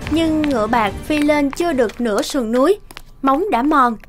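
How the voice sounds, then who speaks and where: A woman's voice: sits high, moderate pace, music in the background, close to an everyday voice. A woman working as the scripted narrator of a cartoon fairy tale, telling the story. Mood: storytelling.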